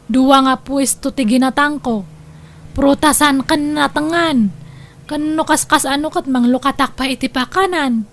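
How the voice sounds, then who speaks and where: A woman acts a young businesswoman in a family comedy drama. Her voice is notably high. She comes across as thrifty and self-assured. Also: fairly even intonation, measured pace, fairly bright tone. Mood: practical. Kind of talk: Ilocano comedy drama acting.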